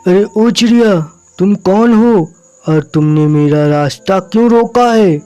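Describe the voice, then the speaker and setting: A man's voice: high-pitched, unhurried, mellow, clear, resonant tone. A man voicing a cruel, threatening hunter eagle in a children's cartoon. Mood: menacing. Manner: threatening, challenging. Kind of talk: children's cartoon dubbing in Hindi. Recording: music behind the voice.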